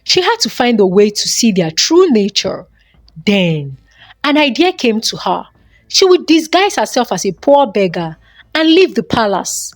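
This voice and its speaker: Woman, folktale narrator, very animated intonation, fairly high voice, dry close sound. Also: unhurried, no room sound. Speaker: storyteller.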